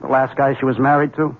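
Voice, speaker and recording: An actor playing an earnest, troubled accountant husband in a 1970s radio drama, formal and halting. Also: male voice, mid-range voice, natural voice. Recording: narrow, muffled old radio broadcast.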